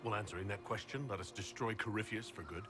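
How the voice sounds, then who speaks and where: An actor playing a resolute, inquisitive adventuring leader in a video game, with a direct, businesslike manner. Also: male voice, lowish voice, fast talker, music in the background, lightly acted. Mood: direct, questioning. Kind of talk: video game dialogue acting.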